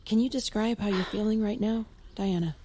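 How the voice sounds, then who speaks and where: A woman playing a measured couples therapist in an audio drama, asking probing questions in a naturalistic way. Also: mid-range voice, fairly even intonation, mellow, slightly rough, natural voice.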